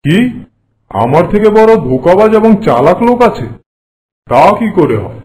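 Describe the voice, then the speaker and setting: Man acting a boastful, high-pitched cartoon king. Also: big pitch swings, moderate pace, darkish tone. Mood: indignant. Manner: pompous, commanding. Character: boastful, haughty king who prides himself on trickery. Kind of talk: animated folk-tale voice acting.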